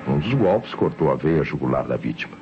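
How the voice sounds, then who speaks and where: An actor playing a thoughtful, methodical Brazilian police commissioner in a radio mystery, explaining evidence formally and deliberately. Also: male voice, extremely low pitch, expressive. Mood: grave, puzzled. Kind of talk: old radio mystery serial acting. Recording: muffled, noisy vintage radio drama.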